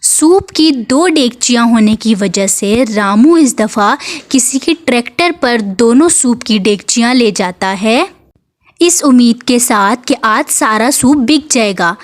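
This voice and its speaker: A woman's voice: a little high, expressive, measured pace. A woman reading a scripted moral story as its narrator.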